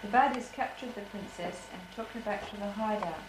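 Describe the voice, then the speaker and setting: A woman's voice: mid-range voice, a little fast. A female narrator reading out an amateur puppet show story, recorded on an echoey, noisy old home video.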